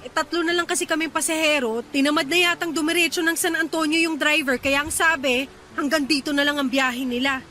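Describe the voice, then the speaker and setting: A woman's voice: high voice, fairly even intonation, a little fast, sharp tone, slight background noise. An actress in a Filipino radio drama playing an irritated, tired young woman stranded late at night, complaining in exasperation. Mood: exasperated.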